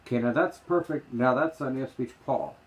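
A man with a mid-pitched voice gives a casual, unscripted explanation. He meanders and halts as he goes, offering his opinions while demonstrating. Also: moderate pace, husky. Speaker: vlogger demonstrating screen reader voices. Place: small room indoors. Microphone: handheld phone, later lying on desk.

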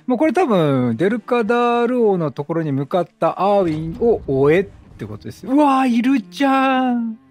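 A man commentates on a game in a chatty, reactive way. His voice is highish and very animated, a bit husky, as he thinks aloud. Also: moderate pace, hardly any pauses. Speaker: game streamer. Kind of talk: chatty let's-play commentary.

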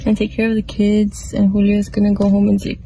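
A woman's voice: mid-range voice, a little fast, creaky, slight background noise, rough recording. A young woman vlogging her day from a small bedroom at home, talking casually into a phone held at arm's length. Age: young adult. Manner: chatty, relaxed, lots of likes and ums. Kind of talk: casual day-in-my-life vlog. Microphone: phone camera at arm's length.

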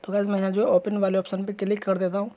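A man's voice: sits high, completely flat delivery, fast talker, dark tone. A man narrating a step-by-step phone tutorial in an informal, repetitive way, addressing viewers as guys. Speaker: tech tutorial host. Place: voice-over on a phone screen recording.

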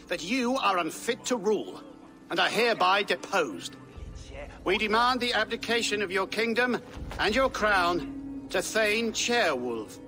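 Formal council nobleman. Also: sits high. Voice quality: formal, declaiming. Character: formal middle-aged male noble of the council. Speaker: game character.

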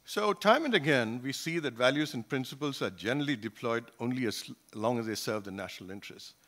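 An older man gives a panel talk at a conference table, speaking into a gooseneck microphone. His delivery is measured and thoughtful, often pausing on fillers and restarting his phrases. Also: mid-range voice, expressive, fairly bright tone. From Singapore. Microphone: table gooseneck mic.

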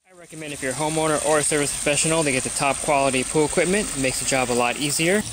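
A man's voice: a little high, very fast, noisy background. A pool service technician talking through his weekly routine in a plain, matter-of-fact instructional voice-over.

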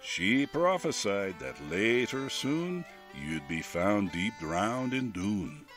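A man giving a dramatic reading of a long narrative poem, building to loud declaiming, with music playing underneath. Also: lowish voice, sing-song, slow. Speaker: poem reader, host's father.

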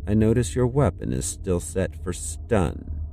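Imperious corporate official: dark, slow, swooping delivery, condescending and coldly formal, for audio drama. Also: male voice, low-pitched, sing-song, vocal fry, a little hiss or hum, full character voice. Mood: stern, condescending. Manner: clipped, condescending, bureaucratic. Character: cold, pompous, authoritarian corporate council official, male.

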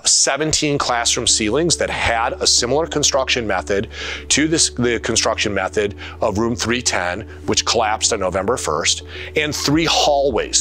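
A man giving a prepared briefing to his community as a school superintendent, phrasing things deliberately and stressing key words and lists. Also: mid-range voice, sharp tone.

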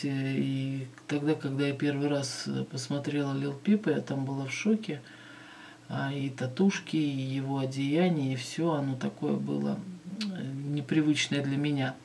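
An older woman at home, chatting casually and thoughtfully as she reacts to a music video, often pausing with soft fillers. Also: sits low, steady tone, a little hiss or hum. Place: small home room with curtains, indoors.

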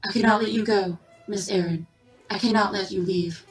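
A woman voicing a polite but unyielding robot assistant in an audio drama, formal, measured and repetitive. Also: medium pitch, steady tone, soft background music, lightly acted. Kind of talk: full-cast audio drama.

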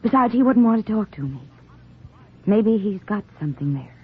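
Sharp-tongued young woman, old radio. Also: medium pitch, animated, moderate pace, breathy edge. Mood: uneasy, wry. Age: young adult. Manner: sardonic, clipped. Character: sharp-tongued, wry young society woman, engaged but disillusioned. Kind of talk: 1940s radio acting. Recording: muffled, narrow-band 1940s radio recording.

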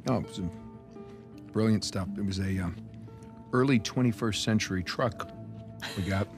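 An actor playing a wry, evasive, good-natured adventurer in his thirties in a video game, talking casually and haltingly with dry jokes. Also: fairly low pitch, lightly acted. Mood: dry, joking. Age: 30s. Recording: music behind the voice.

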